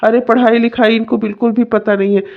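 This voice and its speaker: A woman's voice: a little high, flat delivery, fast talker, gravelly. A woman voicing a domineering, complaining young husband in a melodramatic family story. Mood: outraged. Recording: dull, muffled lo-fi sound.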